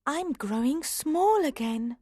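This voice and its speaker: A high, very lively little girl's voice for a storybook. She is curious and polite, easily confused, and speaks deliberately in a dry, close recording. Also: no echo. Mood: dismayed. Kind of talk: storybook character acting.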